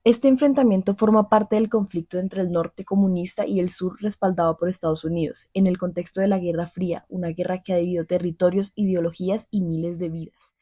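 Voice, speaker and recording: A woman acts as a female war correspondent with a mellow, darker voice. She describes the combat zone gravely in scripted radio-drama reporting. The sound is dry and close. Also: lowish voice, steady tone, a little fast, no room sound. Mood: grave, urgent.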